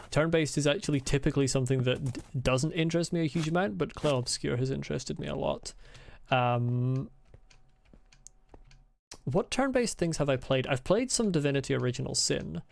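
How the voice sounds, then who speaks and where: A man's voice: fairly high pitch, gravelly, slight background noise. A man with a mild Scottish accent, chatting casually while streaming a game, rambling and swearing bluntly as he rants. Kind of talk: casual live-stream chat while gaming.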